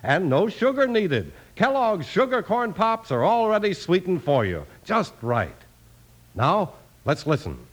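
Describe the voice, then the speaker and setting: A man's voice: high voice, sing-song, unhurried. An American man announcing and narrating in an emphatic, theatrical 1950s radio style. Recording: old radio broadcast. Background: music.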